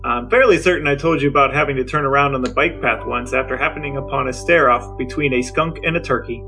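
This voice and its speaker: A man co-hosting a podcast, sharing facts in a dry, deadpan way during banter. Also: mid-range voice, fast talker, music in the background. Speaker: podcast co-host.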